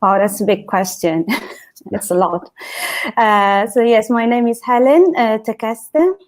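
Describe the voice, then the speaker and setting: A woman's voice: a little high, a little slow, darkish tone. A woman from Italy with an Italian accent, a teacher speaking as a roundtable guest in hesitant English. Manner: hesitant, laughs between phrases. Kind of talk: roundtable discussion.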